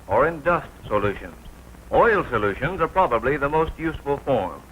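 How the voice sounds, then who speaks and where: Bright-voiced 1940s American male film narrator. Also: mid-range voice. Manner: formal, precise, clearly enunciated announcer delivery. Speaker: military training film narrator. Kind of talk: scripted instructional narration, 1940s training film. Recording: noisy 1940s film soundtrack.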